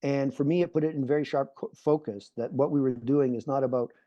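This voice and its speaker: A man's voice: mid-range voice, steady tone, a little fast, no room sound. Earnest middle-aged man on a muffled video call. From Canada. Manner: earnest, repeats phrases while building points. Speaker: panelist, peace activist. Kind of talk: online panel talk.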